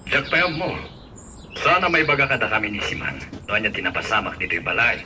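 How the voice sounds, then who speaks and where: A man playing a serious older gentleman in an Ilocano radio drama. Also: fairly low pitch, sing-song, fast talker, heavily acted. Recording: distant pickup with faint ambient noise.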